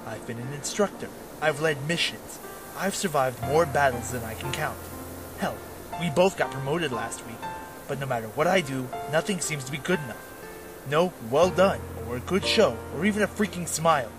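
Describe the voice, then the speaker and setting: A man's voice: on the high side, lively intonation, normal speed, music in the background, lightly acted, poor audio. A man playing a jaded, self-doubting male werewolf knight turned drifter in a fantasy audio drama, with a weary, brooding manner. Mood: frustrated.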